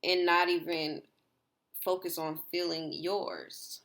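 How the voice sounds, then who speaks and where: A slightly hoarse woman talks her viewers through a tarot spread in a loose, rambling way, saying "like" again and again. Her voice sits low and carries a gravelly fry. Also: steady tone, slow pace, fairly bright tone. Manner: rambling, repeats herself, filler "like". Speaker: tarot reader. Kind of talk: casual tarot reading to viewers.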